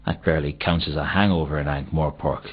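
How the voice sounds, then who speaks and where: Petty, shifty city guard, deep, hesitant, evasive, slow-witted, comic video game, muffled lo-fi sound. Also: male voice, fairly even intonation, husky. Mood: dim, curious. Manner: hesitant, evasive, whiny excuse-making. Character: shifty, dim, cowardly, petty male city watchman. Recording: dull, muffled old console game audio.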